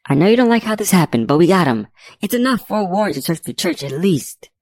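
A woman's voice: lowish voice, very animated, fast talker, creaky, a little mellow, dry recording, pitched above the performer's own voice. A woman voicing a bold, argumentative, pleading female private investigator in an audiobook. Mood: urgent pleading. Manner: insistent, argumentative.

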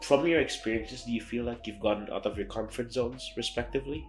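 A young man hosting a casual interview, phrasing his questions in a halting, tentative way. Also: medium pitch, faint music behind. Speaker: podcast host. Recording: roomy echo.

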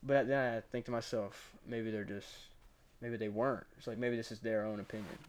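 A man's voice: fairly low pitch, fairly even intonation, fairly quick. Young man in laid-back podcast chat. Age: young adult. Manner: laid-back, says "like" and "bro" a lot. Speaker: podcast co-host. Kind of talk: casual chat.

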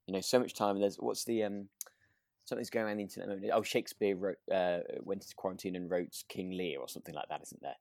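Casual podcast co-host: British male, low voice, tentative, self-interrupting delivery, dry recording. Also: steady tone, fast talker, creaky, darkish tone, no room sound. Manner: hesitant, circling phrasing with self-interruptions. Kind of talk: casual discussion of a radio drama.

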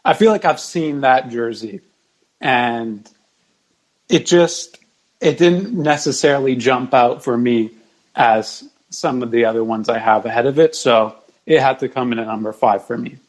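A man chatting casually as a podcast guest, measured and explanatory. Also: medium pitch, poor audio. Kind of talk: casual chat.

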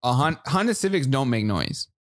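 A man's voice: medium pitch, big pitch swings, normal speed, no echo, studio quality. A young man co-hosting a casual podcast with his partner, blunt and joking. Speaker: podcast co-host. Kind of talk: casual couple chat.

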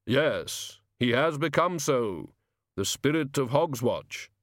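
Guy doing the grim reaper for an audiobook, real slow and grave, very polite and formal. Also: mid-range voice, sing-song, no echo, clean studio sound, voice pushed up. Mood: grave, explaining. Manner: formal, measured. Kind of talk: audiobook character reading.

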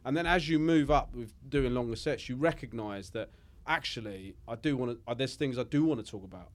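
Man, forties, comedian guest, rambling, self-mocking. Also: mid-range voice. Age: 40s. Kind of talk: casual chat.

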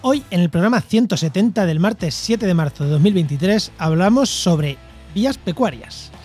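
A man's voice: sits high, lively intonation, moderate pace, a little mellow, breathy edge, soft background music. A man from La Mancha in Spain, hosting a podcast in a casual, chatty style. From Albacete, La Mancha, Spain. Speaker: podcast host.

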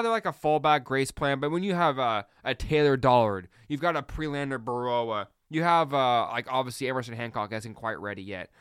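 An excitable man hosts his own podcast, rushing ahead and restarting sentences as his thoughts outpace his words. His voice is smooth and clear at a medium pitch. The sound is dry and close, with no room around it. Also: no echo. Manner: fast, excitable, many restarts. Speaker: podcast host. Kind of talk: solo sports podcast talk.

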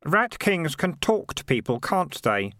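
A man voicing a practical, sceptical teenage boy in an audiobook, matter-of-fact and quick to instruct. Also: on the high side, big pitch swings, bright, forceful tone, dry recording, studio quality, voice pushed up, exaggerated voice. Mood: puzzled.

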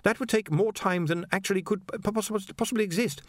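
A man's voice: high-pitched, a little fast, dry recording, clean studio sound, pitched above the performer's own voice, lightly acted. A man voicing a nervous young male wizard scholar who stammers and hesitates. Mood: flustered.

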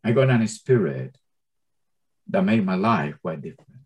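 A man's voice: low voice, big pitch swings, a little slow, a little mellow. Impassioned man in his sixties teaching scripture. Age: 60s. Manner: non-native English with grammar slips; impassioned, many stammered restarts. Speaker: Bible teacher. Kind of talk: informal Bible teaching to a small group.

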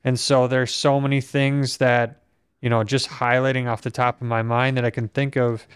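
A young man from Minnesota, hosting a casual conversation and speaking in a steady, reflective way, with a voice that is not very strong on breath. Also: medium pitch, moderate pace, smooth, dry recording, clean studio sound. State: weak breath support. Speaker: podcast host.

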